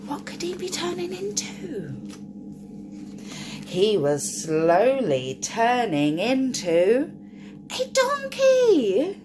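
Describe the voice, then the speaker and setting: A woman storyteller reads to small children with a highly animated, fairly high voice. She takes it slowly, drawing out key words and addressing the young listeners directly. There is clear background noise on the recording. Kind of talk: expressive picture-book read-aloud for children.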